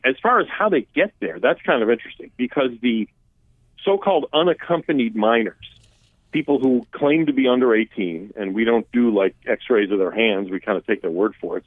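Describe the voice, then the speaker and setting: A man speaks over a tinny phone line, dry and close with no room sound. He talks casually but emphatically, restarting sentences and pushing his voice up on key points. His pitch sits in the middle range. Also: no room sound. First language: Armenian. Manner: conversational, emphatic, with restarts and lively rises. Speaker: immigration policy expert guest. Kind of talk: political talk radio interview.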